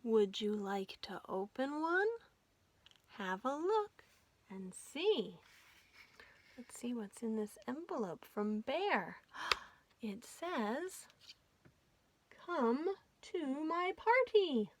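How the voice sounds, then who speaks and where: A woman hosting a children's storytime, reading aloud in an animated, sing-song way and putting questions to young listeners. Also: sits high, very slow, long pauses.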